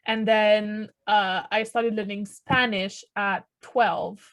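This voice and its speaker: A woman's voice: mid-range voice, fairly even intonation, slow, poor audio. A young woman whose first language is French, co-hosting a podcast in casual chat and telling stories with frequent restarts. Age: young adult. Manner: chatty storyteller with frequent restarts and laughter. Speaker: podcast co-host.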